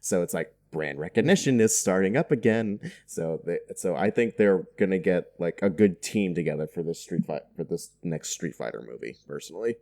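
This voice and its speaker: A man's voice: deep voice, lively intonation, normal speed, few pauses, a little mellow. A man talking casually as a podcast guest, chatty and jokey. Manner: chatty, jokey, often chuckling. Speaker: podcast guest working in film.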